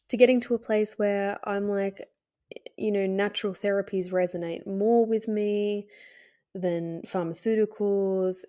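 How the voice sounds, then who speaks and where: Hoarse, nasal woman podcast host. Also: on the low side. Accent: Australian. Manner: candid, hesitant, with frequent fillers and soft laughs. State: hoarse from a cold. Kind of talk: casual solo podcast talk.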